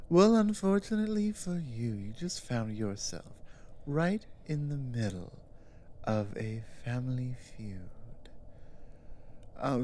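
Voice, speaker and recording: A woman playing a powerful, haughty, suspicious giantess sorceress in an ASMR roleplay, speaking deliberately and commandingly, sometimes dropping toward a whisper. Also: very deep voice, mellow, slight background noise, heavily acted. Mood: mocking, probing. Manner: slow, deliberate, commanding, with breathy drops into whispers. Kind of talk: ASMR roleplay, dramatic monologue.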